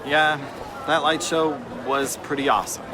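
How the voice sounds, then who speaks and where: A man's voice: fairly high pitch, steady tone, moderate pace, crowd noise, noisy background, natural voice. A man playing a cynical, ambitious young runaway slave, brash and dismissive, in a family audio drama.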